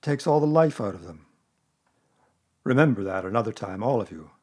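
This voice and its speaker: A man's voice: fairly low pitch, expressive, moderate pace, dark tone, slightly rough, pitched below the performer's own voice. A man voicing a gloomy, put-upon donkey who grumbles mournfully and sarcastically in a storybook reading. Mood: gloomy grumbling.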